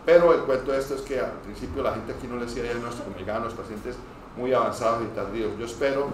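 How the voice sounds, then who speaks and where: A man from Cúcuta with a northeastern Colombian accent, giving an informal medical lecture as a pulmonologist, hurried and digressive with stammered false starts. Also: medium pitch. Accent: northeastern Colombian Spanish. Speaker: pulmonologist teaching medical trainees. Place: echoey room, speaking to a group. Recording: very echoey room, distant mic, noisy.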